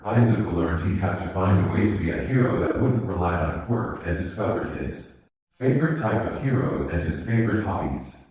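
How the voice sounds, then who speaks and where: A man's voice: low voice, darkish tone. A man reading fan fiction aloud as a narrator, in a scripted audiobook style. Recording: muffled, very echoey room recording.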